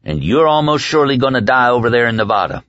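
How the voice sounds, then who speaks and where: A man's voice: medium pitch, animated, quick pace, a bit bright, no echo, lo-fi sound, voice pushed up, lightly acted. A man voicing an injured, stoical man in an audiobook, plain-spoken and commanding as he orders friends onward. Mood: resolute.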